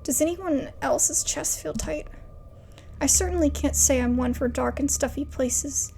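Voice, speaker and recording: A woman's voice: high-pitched, unhurried, vocal fry, warm tone, slight background noise. A woman voicing a fussy, formal companion who speaks in haughty, old-fashioned diction. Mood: prim.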